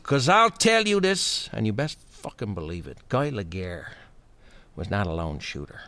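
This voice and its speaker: Blustering, bright-voiced, foul-mouthed male official. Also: on the low side, sing-song, normal speed, full character voice. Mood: menacing. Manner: blustering, folksy, profane, bullying. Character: foul-mouthed, menacing, self-important male senior government official. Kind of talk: satirical audio drama acting.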